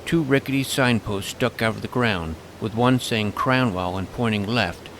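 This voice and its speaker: A man's voice: lowish voice, moderate pace, noisy background. A man narrating a comic fantasy adventure in the first person as its self-important young hero.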